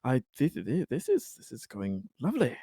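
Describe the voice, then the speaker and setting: A man playing a tabletop game, talking casually and in character in a deliberate, halting way. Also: mid-range voice, wildly swooping pitch, mellow, husky, no room sound, studio quality. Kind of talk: casual in-game chat and role-play.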